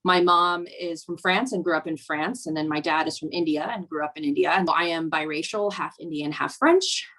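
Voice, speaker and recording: A woman's voice: sits low, normal speed, hardly any pauses, fairly bright tone, low-quality recording. A young woman from Virginia, speaking reflectively in a documentary interview, with frequent "um" and "you know". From Virginia, USA. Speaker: snowboarder interviewee.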